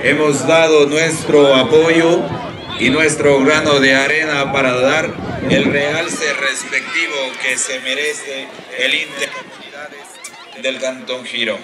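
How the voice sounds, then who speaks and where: A man's voice: mid-range voice, steady tone, takes it slowly. A man in his thirties with an Ecuadorian accent giving a public thank-you speech outdoors on a handheld microphone. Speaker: tournament president. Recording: noisy outdoor sports ground, crowd.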